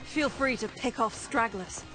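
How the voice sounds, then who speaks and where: Actress as a commanding high-voiced rebel leader. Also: female voice, unhurried, over music, natural voice, poor audio. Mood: brisk, dry. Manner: commanding, dry. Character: tough, commanding female resistance leader. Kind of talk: video game mission briefing.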